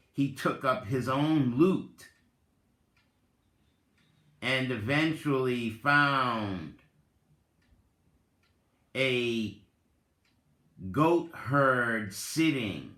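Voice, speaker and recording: A man giving a dramatic reading with spoken commentary, deliberate and halting, in short phrases. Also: mid-range voice, fairly bright tone. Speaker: reader, content creator. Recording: roomy, echoing voice recording.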